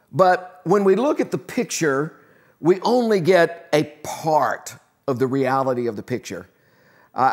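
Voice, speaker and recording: An older man preaching a sermon in a conversational, earnest way, with frequent "uh" fillers, from a living-room church set. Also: a little high. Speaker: pastor.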